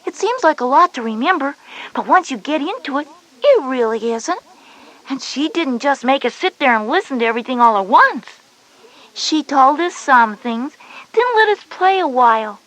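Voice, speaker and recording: Big sister schoolgirl, high n really animated, explains stuff, bit bossy, kids learning tape. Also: moderate pace, low-quality recording. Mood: reassuring, explanatory. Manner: explanatory, emphatic. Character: knowing, patient but slightly bossy older sister Jenny, schoolgirl. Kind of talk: scripted educational audio story dialogue.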